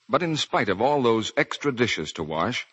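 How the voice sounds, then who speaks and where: A man's voice: medium pitch, very animated, moderate pace, fairly bright tone, slightly rough, lo-fi sound. A man announcing and reading scripted advertising on a 1940s radio show. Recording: old broadcast, faint audience noise.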